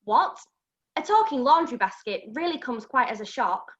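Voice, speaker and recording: A woman's voice: fairly high pitch, animated, normal speed, fairly bright tone. A woman playing a haughty, curious young princess in rhyming pantomime, on a lo-fi recording. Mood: curious, surprised. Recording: dull lo-fi sound.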